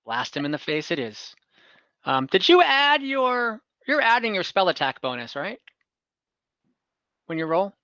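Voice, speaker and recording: A man running an online tabletop game as dungeon master, checking rules quickly and laughing easily. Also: high-pitched, very animated, pushed and bright, dry recording, highs cut off.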